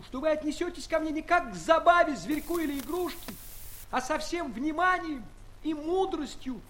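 Very high-pitched tiny courtly man. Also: a little hiss or hum, low-quality recording. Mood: earnest pleading. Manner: florid, courtly, earnest. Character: tiny courteous human traveller, proud and eloquent. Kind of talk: Soviet audio play for children.

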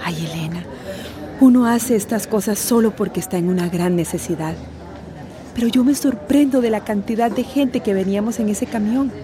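A woman's voice: medium pitch, normal speed, crowd noise, lightly acted. A woman acting a weary, plain-spoken working-class migrant in a radio drama, telling of her ordeal. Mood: weary, sad. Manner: plain-spoken, colloquial storytelling.

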